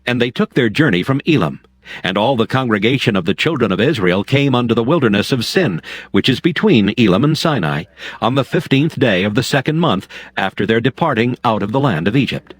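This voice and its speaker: A man's voice: mid-range voice, animated, brisk, no room sound. A man narrating dramatized scripture in a solemn, formal storytelling manner.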